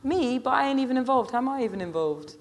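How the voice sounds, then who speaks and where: A man's voice: very high-pitched, expressive, fast talker, voice pushed up. A man voicing a mouthy, exasperated teenage girl with slangy, incredulous delivery in an audiobook story.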